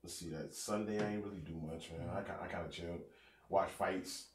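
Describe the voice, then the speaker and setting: A man's voice: deep voice, fairly even intonation, fairly quick, echoey room, distant mic, noisy background. A man from North New Jersey hosting a casual podcast chat, slangy and rambling, a bit congested and sniffling from allergies. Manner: laid-back, slangy, rambling with fillers. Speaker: podcast host.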